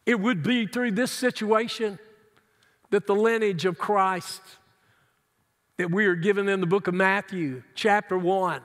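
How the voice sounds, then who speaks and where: A man's voice: high-pitched, steady tone, unhurried, fairly bright tone. A middle-aged male pastor preaching an earnest, emphatic sermon from his seat in a large church sanctuary. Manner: earnest, emphatic preaching with wide hand gestures. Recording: long church hall reverb.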